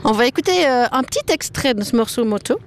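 A woman's voice: a little high, expressive, fast talker, slight background noise. A woman hosting a podcast interview, framing long questions with a few fillers. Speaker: podcast host.

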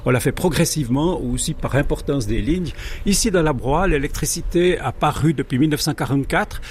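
A man's voice: a little high, brisk, slight background noise. A man with a Swiss French accent explaining history conversationally as a guest, hesitant, digressive and good-humoured. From French-speaking Switzerland, Jura arc. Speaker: guest, amateur railway historian.

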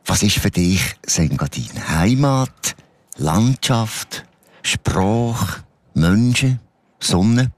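A man with a Swiss German accent hosts a casual interview. His voice sits very low and sounds close and dry. He asks questions in a relaxed, self-deprecating way. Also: drawn-out words, no echo. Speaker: radio host.

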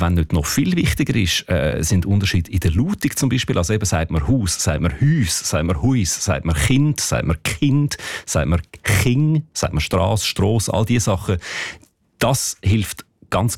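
Zurich man, radio dialect expert, very low voice, patient explainer. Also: gravelly, darkish tone, husky, no echo, studio quality. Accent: Zurich Swiss German. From Switzerland. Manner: explanatory, thoughtful, with fillers. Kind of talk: radio interview. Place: radio studio.

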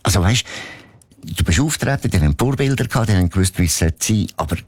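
Deep-voiced Swiss German interviewer. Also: male voice, animated, quick pace, slightly rough, no echo. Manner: probing, rambling questions with many fillers. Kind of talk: casual interview conversation.

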